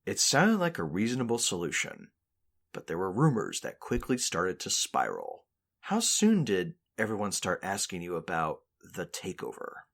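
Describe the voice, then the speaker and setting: Earnest male reporter played by an actor, low husky voice, mock-documentary narration, tongue-in-cheek. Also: huge pitch swings, normal speed, fairly bright tone, heavily acted. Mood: inquisitive.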